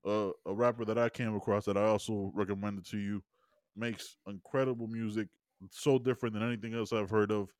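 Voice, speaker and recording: A man's voice: lowish voice, steady tone, fairly quick, clean tone, dry recording, studio quality. A man co-hosting a casual show review, telling stories animatedly and often asking "you know what I mean". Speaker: podcast co-host.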